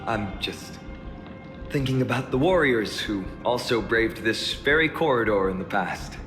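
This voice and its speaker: A man's voice: fairly low pitch, animated, unhurried, fairly bright tone. A man voicing an earnest, easygoing young warrior hero in a video game, sincere and lightly teasing. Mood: resolute. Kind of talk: video game character acting. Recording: long reverb, music behind the voice.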